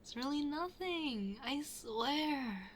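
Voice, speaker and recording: A woman's voice: high-pitched, lively intonation, slow pace, a little hiss or hum. A woman playing a shy, flustered best friend hiding a crush in an intimate ASMR roleplay, hesitant and often dropping to a near-whisper. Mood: flustered, evasive.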